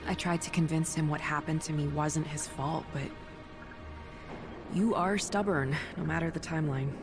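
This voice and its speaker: A woman playing a calm, kind, wry superhero doctor in a video game, gentle and teasing in natural dialogue. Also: low-pitched, moderate pace, over music. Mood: gentle, somber.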